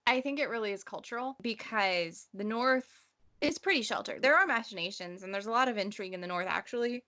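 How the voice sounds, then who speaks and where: Bright-voiced woman discussing books. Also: a little high, fairly quick. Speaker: podcast co-host. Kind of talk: book discussion, casual chat.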